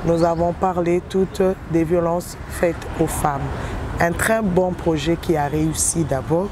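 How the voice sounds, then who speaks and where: Congolese woman speaking measuredly outdoors. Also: fairly low pitch. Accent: Congolese French. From Republic of the Congo. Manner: measured, with frequent hesitations and short phrases. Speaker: musician interviewed as guest. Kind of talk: interview answer. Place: outdoors in a city. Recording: noisy outdoor street with birds and traffic. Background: birds and passing vehicles.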